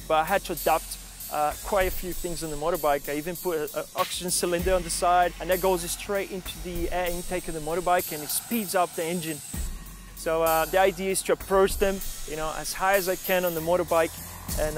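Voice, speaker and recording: Man in his thirties, mountaineer; relaxed, halting delivery with fillers, slightly high voice, music under. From born in Argentina, raised in Brazil. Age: 30s. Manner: relaxed, with many fillers and restarts. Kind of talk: documentary interview and voice-over.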